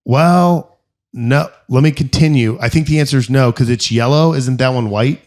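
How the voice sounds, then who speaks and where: A man's voice: mid-range voice, normal speed. A man co-hosting a podcast, telling a long story in casual chat with lots of fillers and restarts. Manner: rambling, filler-heavy, animated storyteller. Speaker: podcast co-host.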